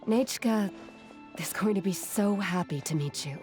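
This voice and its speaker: A woman's voice: medium pitch, moderate pace, lightly acted. An actress playing a kindly, capable village craftswoman in a video game, with a reassuring, caring manner. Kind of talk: English video game voice acting. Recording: clear background music.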